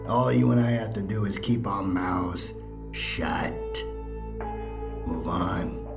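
An actor playing a menacing, insistent man in an audio drama, commanding and at times hushed. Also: sits low, slow pace, gravelly, full character voice. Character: menacing, insistent man urging a guilty secret be kept. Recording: cavernous reverb under loud music.

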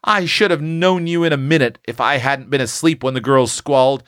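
A man playing a wry farm lad, pitched up. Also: normal speed, a bit bright, clear, resonant tone, no room sound, clean studio sound, close to an everyday voice. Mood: grudging. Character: plainspoken young farm lad, protective and dry.

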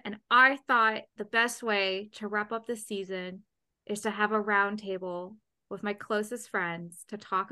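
A woman's voice: a little high, pushed and bright, clean tone. A young woman hosting a casual podcast roundtable, bubbly and gushing, with lots of "like" fillers. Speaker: podcast host.

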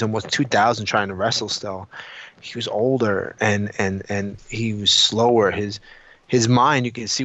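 A man co-hosting a podcast, talking casually and opinionatedly, repeating himself as he retells things in an animated way. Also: lowish voice, dry recording. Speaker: podcast co-host. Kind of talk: casual opinionated panel chat. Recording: dull lo-fi audio.

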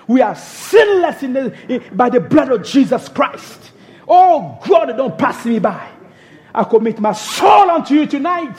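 High-voiced young preacher praying fervently. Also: male voice, sing-song, unhurried, darkish tone. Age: young adult. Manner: fervent, pleading, crying out "oh God" between phrases. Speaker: minister.

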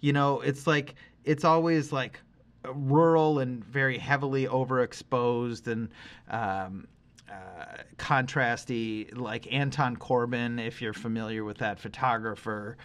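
A man's voice: medium pitch, steady tone, unhurried. A man in his forties co-hosting a podcast, talking about music in a casual, rambling, emphatic and opinionated way. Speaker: podcast host. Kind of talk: casual conversational music discussion.

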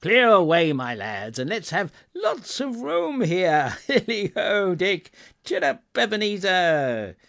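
A man voicing a hearty, jovial old merchant in a storybook, with a rich, oily voice and booming drawn-out calls. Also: very animated, exaggerated voice. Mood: boisterous. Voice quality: oily, rich, fat, comfortable.

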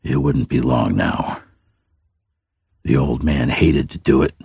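A man reading scripted dramatic fiction as a narrator, in a measured, brooding manner. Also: very deep voice, gravelly, a little mellow, husky, no room sound, clean studio sound. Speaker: story narrator.

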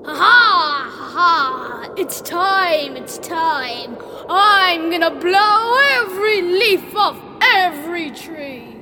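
A woman voices the north wind as a blustering, gleeful storm spirit. He cackles and shouts his boasts in a very high voice. Clear hiss runs behind him. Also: lively intonation, takes it slowly, few pauses, heavily acted.

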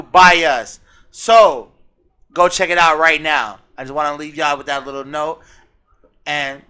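Man, American accent, podcast host, high pitch, sharp bright tone, excitable, profane slangy banter. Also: smooth.

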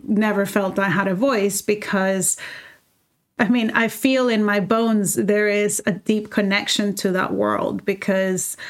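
A woman, speaking as a guest in a reflective conversation, earnest and heartfelt, often restarting her sentences. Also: medium pitch. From El Salvador. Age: 30s. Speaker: guest, fashion designer.

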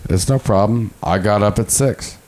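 A man's voice: fairly low pitch, moderate pace, a little mellow. A man hosting a casual chat, swearing and laughing a lot as he teases his guests. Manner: profane, laughs a lot, teasing. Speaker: host.

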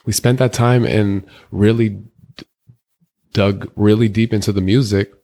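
Young guy w a deep gravelly voice, slangy rambling storyteller, interview guest. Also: fairly even intonation, measured pace, warm tone. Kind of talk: casual candid interview talk.

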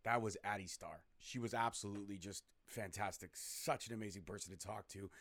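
A man signing off his podcast in an energetic, hyped-up way. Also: lowish voice, a little fast. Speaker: podcast host. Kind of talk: show sign-off.

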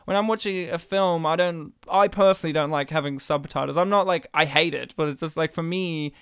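A man with a fairly high, smooth voice, recorded dry and close. He chats casually about films like a podcast host, animated, talkative and teasing. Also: a little fast, no room sound. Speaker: film discussion podcast host. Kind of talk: casual film chat.

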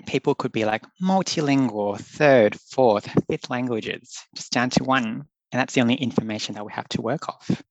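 An earnest young man talks through his ideas with loose, thoughtful phrasing and the odd laugh. His voice sits at a middling pitch. The recording is dry and close, with no room sound. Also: dry recording, low-quality recording. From Australia. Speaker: public health doctor, guest. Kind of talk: conversational podcast interview.

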